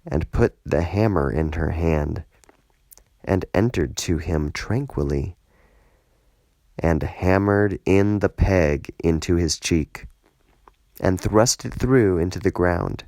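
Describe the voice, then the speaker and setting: A man reading scripture as scripted narration, solemn and measured, in short deliberate phrases. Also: low voice, darkish tone, dry recording. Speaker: scripture reader, audiobook narrator.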